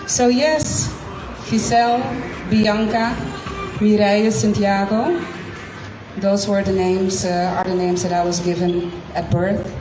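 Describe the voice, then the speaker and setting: Slow-paced woman in an echoey room. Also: mid-range voice, fairly even intonation. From Curacao. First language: Dutch. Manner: slow, deliberate, with frequent pauses. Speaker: guest speaker. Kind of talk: storytelling talk to a live audience. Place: event room. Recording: echoey room, distant mic, noisy.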